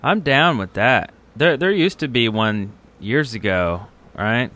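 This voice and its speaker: A man's voice: mid-range voice, lively intonation, takes it slowly, bright, forceful tone. A man co-hosting a podcast in a casual, conversational style. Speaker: podcast co-host. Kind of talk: casual chat.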